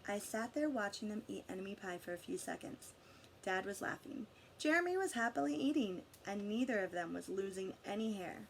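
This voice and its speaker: A woman reading a picture book aloud expressively to children, pausing to guide them with questions. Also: mid-range voice, lively intonation, a little hiss or hum, rough recording. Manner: pauses to question and guide young listeners. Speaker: read-aloud storyteller for children.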